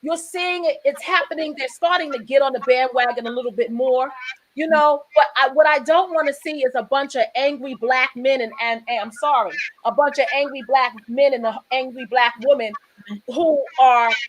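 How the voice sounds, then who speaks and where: This is a woman with a high-pitched voice, hosting a talk show in a casual tone. She speaks with emphatic, preachy conviction and rambles through her points, often restarting mid-sentence. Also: moderate pace, poor audio.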